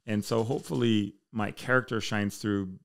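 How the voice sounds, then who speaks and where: A man's voice: on the low side, fairly even intonation, moderate pace, gravelly. An American man chatting casually and candidly as a podcast guest, with slangy, bro-heavy speech. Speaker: podcast guest, military fire chief.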